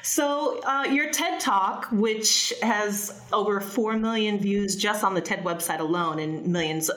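A woman's voice: mid-range voice, measured pace, fairly bright tone, echoey room, poor audio. A woman hosting a podcast, introducing her guest with upbeat enthusiasm. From New Jersey, USA. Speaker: podcast host.

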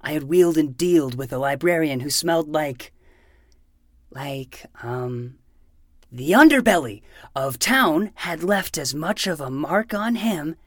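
A woman's voice: low-pitched, lively intonation, measured pace, no echo. Kid boy playing hardboiled detective, earnest and grandiose, swoops around a lot, super dry podcast drama. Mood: brooding noir narration. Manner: grandiose hardboiled detective talk slipping into childish pleading. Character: earnest twelve-year-old boy playing a self-important noir detective. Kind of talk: fiction podcast acting with noir narration.

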